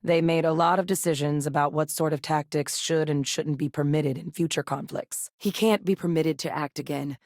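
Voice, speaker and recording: An actress playing an earnest, composed female military scientist and commander in a video game, with a measured, serious delivery. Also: low-pitched, steady tone, darkish tone, dry recording, studio quality. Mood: grave, resolute.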